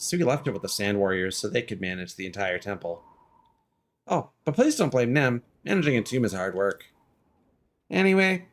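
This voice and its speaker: A man voicing a chirpy, chatty, apologetic moon villager while reading fantasy game dialogue aloud. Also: mid-range voice.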